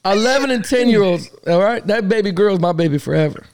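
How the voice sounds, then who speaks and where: High-pitched gravelly man hosting. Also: lively intonation, brisk, a crowd in the background. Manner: loose, laughing banter. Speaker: podcast host. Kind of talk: casual sports talk.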